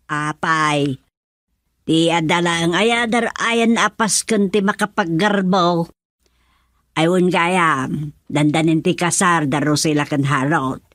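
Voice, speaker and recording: A woman's voice: on the low side, slow pace, a bit bright, no room sound, studio quality. A woman with an Ilocano accent playing a jolly, teasing older mother in a radio drama, chatty, fussy and full of exclamations. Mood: cheerful, teasing. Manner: exclamatory, chuckly.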